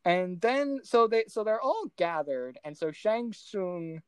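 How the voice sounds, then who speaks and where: Rambling, excitable woman chatting casually. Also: mid-range voice, takes it slowly, clean tone, dry recording. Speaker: podcast co-host. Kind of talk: casual movie recap chat.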